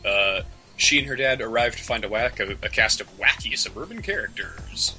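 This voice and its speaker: A man's voice: lowish voice, lively intonation, moderate pace, pushed and bright, faint music behind, poor audio. A man co-hosting a podcast with casual banter, often stammering and repeating his words as he goes. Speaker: podcast co-host.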